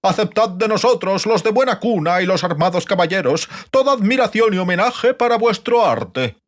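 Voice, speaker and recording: A man's voice: high-pitched, lively intonation, moderate pace, voice pushed up. A man voicing a gallant, boisterous fantasy knight who speaks in grandiose courtly praise for an audiobook. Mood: effusive, boisterous. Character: gallant thin knight, boisterous, effusive admirer. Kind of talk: audiobook fantasy character acting.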